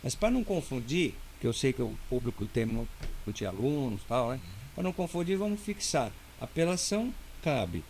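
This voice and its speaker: Brazilian lawyer explaining things patiently. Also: male voice, medium pitch, big pitch swings, moderate pace, a little mellow, a little hiss or hum. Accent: Brazilian Portuguese. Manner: didactic, explains step by step with many "né?". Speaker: lawyer guest. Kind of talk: casual interview talk.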